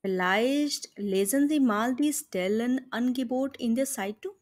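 A woman with an Indian accent voicing an encouraging, practical female friend in slow, carefully pronounced dialogue for learners. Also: on the high side, natural voice. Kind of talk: scripted dialogue read for learners.

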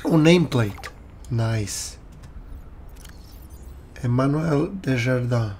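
A man livestreams a game on a headset, talking slowly at a medium pitch. He reacts to what he finds and drops small jokes, with faint noise behind. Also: expressive, darkish tone. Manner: slow, reads names aloud, jokey. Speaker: livestreaming gamer. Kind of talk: casual game commentary. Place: home room indoors.

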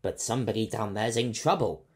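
A man's voice: mid-range voice, big pitch swings, a little slow, creaky, dark tone, slightly rough, pitched above the performer's own voice, exaggerated voice. A man doing a commanding spaceship captain.

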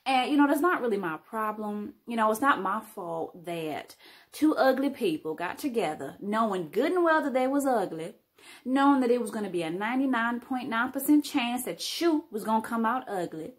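A woman's voice: fairly high pitch, animated, moderate pace, lightly acted. A woman doing a brash, boastful show-off. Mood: cocky. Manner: brash, sassy bragging. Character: cocky, boastful woman who insults everyone.

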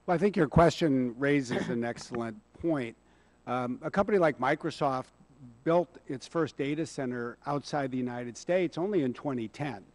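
A middle-aged man testifying at a hearing, speaking in a measured, persuasive way into a table microphone in a large hearing room. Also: medium pitch, a little mellow. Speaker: hearing witness. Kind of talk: congressional testimony.

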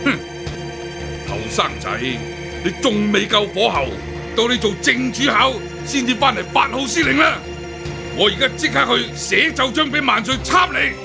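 An actor with a Hong Kong Cantonese accent playing a domineering, scornful older official who scolds others in a radio drama. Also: male voice, very high-pitched, lively intonation, normal speed, creaky, over music, heavily acted. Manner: imperious, scolding.

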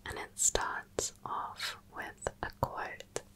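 A woman speaking in a soft, breathy whisper with a slow, sleepy, soothing delivery, in the style of an ASMR meditation reading. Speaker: ASMR channel host.

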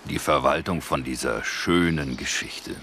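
A man voicing a big, fat, self-important forest bear with a gravelly voice, introducing a children's story pompously and haltingly. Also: extremely low pitch, pushed and bright, slight background noise, heavily acted.

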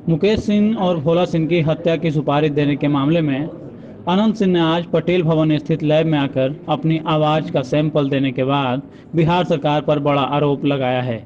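A man's voice: on the high side, fairly even intonation, moderate pace, a little mellow. A man reading scripted news narration as a voice-over, on a dull and slightly noisy recording. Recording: dull lo-fi voice-over, faint noise.

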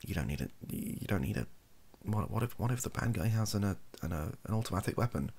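A soft-spoken British man rambles in an improvised, ASMR-style comedic commentary. His voice is very low and creaky, yet it moves around with lively intonation. He keeps breaking off and correcting himself, with a dry sense of humour. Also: fast. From Wales, UK. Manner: rambling, self-interrupting, dryly humorous. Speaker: comedy ASMR video creator. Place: small cluttered bedroom or home office, indoors.

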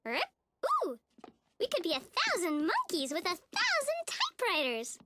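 A woman voicing a naive, sweet, relentlessly cheerful little schoolgirl in a TV cartoon, with a childlike squeaky voice and a gushing, singsong, oblivious manner. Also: wildly swooping pitch, measured pace, very noisy, exaggerated voice. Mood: excited, gushing. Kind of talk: animated TV cartoon acting. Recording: music playing behind the voice.

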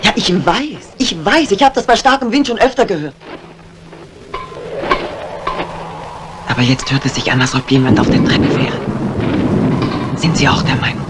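Schauspielerin als trockene, resolute Dame, alte verrauschte Radioaufnahme. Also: female voice, on the low side, animated, a little fast, natural voice. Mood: wry, curious. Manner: composed, commanding, wry. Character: brisk, dry-witted older lady mystery writer, mistress of the house. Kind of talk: 1950s German radio mystery acting. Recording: noisy old 1950s radio recording.

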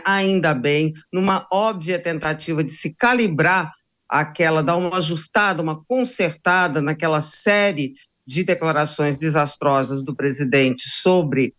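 An older Brazilian woman with a low voice gives political commentary. She talks conversationally, as a seasoned pundit would from her study. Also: a bit bright. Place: home study with bookshelves. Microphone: branded desk microphone on a video link.

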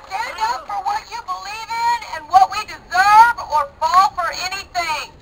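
A woman shouts slogans in a high voice from somewhere in a protest crowd. She is heard from a distance, nearly buried under loud crowd noise and street sound. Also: a little slow.

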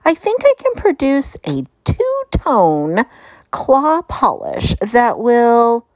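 A woman doing a gushing, excitable young girl hamster. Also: a little high, slow pace, dry recording, pitched above the performer's own voice, exaggerated voice. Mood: proud, gushing. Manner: gushing, dramatic. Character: excitable, vain young female hamster chasing glamour. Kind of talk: children's storybook character voice.

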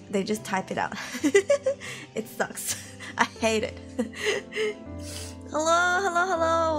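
A woman's voice: a little high, a bit bright. A young woman chatting casually with livestream viewers, bubbly and giggly, her voice tired and sniffly. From Malaysia. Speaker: VTuber streamer. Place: home room.

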